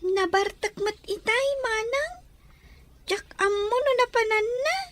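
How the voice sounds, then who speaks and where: A woman's voice: extremely high pitch, a little slow, a bit bright. A woman playing an anxious young schoolgirl in a radio drama, with a plaintive, hesitant way of talking. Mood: worried. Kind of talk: Ilocano radio drama acting.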